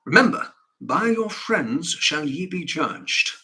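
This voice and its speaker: A man's voice: on the high side, big pitch swings, takes it slowly, sharp tone, voice pushed up, heavily acted. A man rehearsing stage dialogue as a stern, dismissive upper-class father, pompous and brusque.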